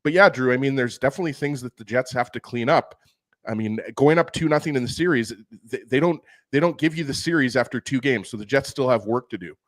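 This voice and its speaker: A man's voice: medium pitch, quick pace, no room sound. A man co-hosting a hockey talk show, talking casually and repeating words as he goes. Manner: conversational, repeats himself.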